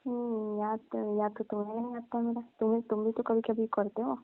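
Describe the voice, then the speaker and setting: A woman's voice: a little high, flat delivery, a little fast, no echo, lightly acted. A young woman playing a coy, teasing female cousin in a casual, colloquial phone chat. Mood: gently defensive. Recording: thin narrow-band phone line.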